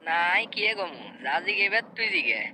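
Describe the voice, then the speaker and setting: A man's voice: high-pitched, expressive, a little fast, vocal fry, sharp tone, a little hiss or hum, heavily acted, low-quality recording. A man with a Noakhali Bengali accent voicing a hapless, exasperated young brother in a comic cartoon. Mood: clueless.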